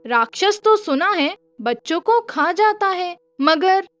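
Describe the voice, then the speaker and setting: A woman's voice: very high-pitched, animated, slow pace, a bit bright, no room sound. A woman narrating a children's story from a script.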